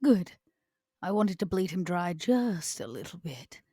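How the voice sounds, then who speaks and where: A woman voicing an elegant, scheming century-old vampire aristocrat in a tabletop roleplay, with measured, formal, deliberate phrasing. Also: on the low side, sing-song, mellow, breathy edge, dry recording, clean studio sound, full character voice. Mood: coolly greedy.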